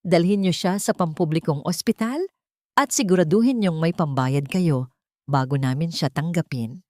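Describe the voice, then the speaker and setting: A woman's voice: sits low, normal speed, darkish tone, no room sound, clean studio sound, natural voice. A woman voicing a cold, uncaring clinic nurse in a storybook drama, hard and dismissive.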